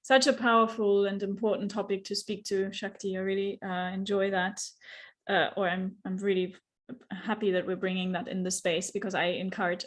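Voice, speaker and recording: A woman guest speaking earnestly about spiritual experience, searching for words and slowing down on key phrases. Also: medium pitch. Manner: earnest, searching, many restarts and fillers. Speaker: guest, yoga teacher and facilitator. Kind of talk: reflective spiritual conversation.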